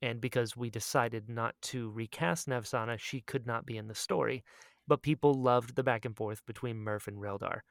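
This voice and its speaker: A man co-hosting a podcast in casual chat, speaking in an excitable, emphatic way and often repeating himself for emphasis. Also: mid-range voice, moderate pace, vocal fry, dry recording, clean studio sound. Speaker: podcast co-host and audio drama creator.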